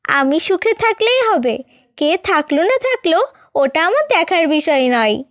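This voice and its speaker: A woman's voice: very high voice, very animated, moderate pace, fairly bright tone, no room sound, full character voice. A woman voicing a greedy, vain younger sister in a cartoon, bossy and brazen. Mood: brazen, selfish. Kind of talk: Bengali cartoon fairy-tale acting.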